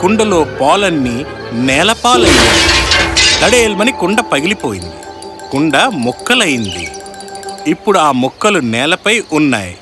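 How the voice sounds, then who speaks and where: A man narrating a children's fairy tale as a dramatic, expressive storyteller. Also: a little high, moderate pace, loud music behind the voice.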